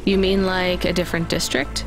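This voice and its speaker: A woman's voice: on the low side, level tone, a little fast, over music, lightly acted, poor audio. A woman playing a weary, sardonic young immigrant courier in a video game, with a dry, guarded delivery. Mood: gentle, sympathetic. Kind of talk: video game dialogue acting.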